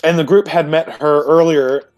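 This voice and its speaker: A man informally recapping a previous tabletop roleplay session as a player, in a halting, self-correcting way. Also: on the high side, steady tone, darkish tone, no echo. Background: music.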